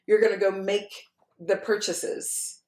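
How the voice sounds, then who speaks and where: Emphatic woman, echoey room. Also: mid-range voice. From Louisiana. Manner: animated, emphatic, quick to laugh. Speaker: podcast host. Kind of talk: casual podcast conversation.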